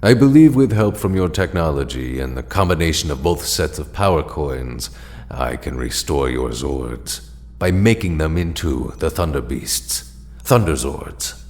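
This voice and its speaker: Man playing a very deep, solemn ancient warrior ally. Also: expressive, a little mellow, big-room sound, exaggerated voice. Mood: solemn, measured. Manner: formal, measured, deliberate. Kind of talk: audio drama acting.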